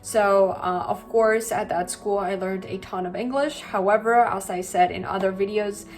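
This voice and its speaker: A woman's voice: medium pitch, steady tone, moderate pace, faint music behind. A young woman in her twenties from Colombia, Spanish-native with a Colombian accent, chatting casually to camera as a language vlogger, with lots of likes and you-knows. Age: 20s.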